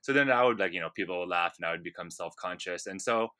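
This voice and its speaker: A young man from India hosts a casual podcast, loose and rambling, with plenty of ums and likes. His voice has a strikingly bright, forward ring. The sound is very dry and close to the mic, with no room in it. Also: deep voice, quick pace, no echo. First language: Punjabi. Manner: relaxed, rambling with many fillers. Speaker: podcast host.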